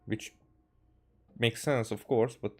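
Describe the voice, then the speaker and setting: A man's voice: mid-range voice, fairly even intonation, slow pace, faint music behind. A man with a Hungarian accent giving casual live game commentary in hesitant, broken-up phrases, as an easily amused streamer.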